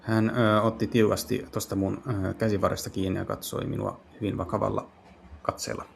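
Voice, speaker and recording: A man playing through a visual novel, translating it aloud in casual speech and often halting to search for words. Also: lowish voice, flat delivery, creaky, slight background noise. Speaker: game streamer. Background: game music.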